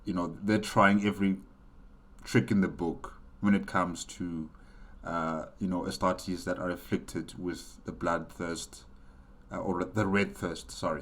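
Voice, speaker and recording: A man hosting a reaction video with casual, halting off-the-cuff commentary. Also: deep voice, fairly even intonation.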